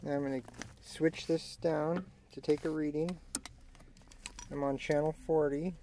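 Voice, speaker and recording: A man with a gravelly, creaky voice walks through a hands-on demonstration. He speaks casually and haltingly, thinking aloud as he works. The recording is amateur camera audio with some noise. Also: on the high side, flat delivery, dark tone. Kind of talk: casual hands-on tutorial narration. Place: inside a parked vehicle. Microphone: handheld camera mic. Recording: clearly noisy amateur camera audio, clicks.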